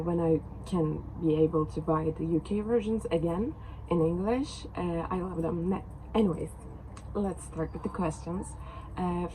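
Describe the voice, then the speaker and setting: A woman's voice: on the low side, darkish tone. A young woman from Turkey with a Turkish accent, chatting hesitantly to camera as a book vlogger, with many fillers and restarts. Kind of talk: casual chat to camera. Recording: noisy background.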